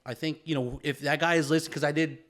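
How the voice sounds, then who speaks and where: A man's voice: a little high, fairly even intonation, quick pace, fairly bright tone. A man co-hosting a podcast in a casual, reflective way, swearing freely and repeating himself, with "man" and "bro" thrown in. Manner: informal, profane, repeats phrases, peppers talk with "man" and "bro". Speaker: podcast co-host.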